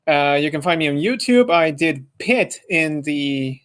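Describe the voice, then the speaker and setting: A man's voice: a little high, expressive, a little slow, fairly bright tone. A man chatting casually as an amateur voice actor. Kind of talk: casual chat.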